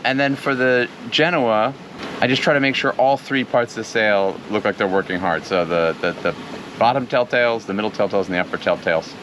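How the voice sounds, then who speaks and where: A man's voice: fairly low pitch, brisk, a bit bright, dull audio. A man casually explaining things to the camera on a sailboat at sea, chatty and enthusiastic, with fillers. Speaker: solo sailor and channel host. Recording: wind and water noise on a sailboat.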